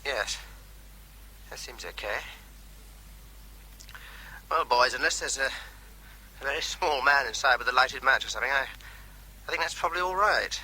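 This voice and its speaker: An actor playing a methodical, wry army captain, thinking aloud haltingly as he defuses a bomb in a radio drama. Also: mid-range voice, lively intonation, pushed and bright, a little hiss or hum, low-quality recording. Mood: cautious, wry. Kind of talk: radio drama, running report by field telephone.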